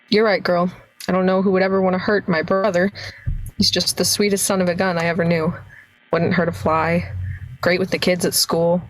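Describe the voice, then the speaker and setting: A woman's voice: fairly low pitch, no room sound, close to an everyday voice, rough recording. A woman playing an earnest, wry young small-town male detective in an amateur radio play.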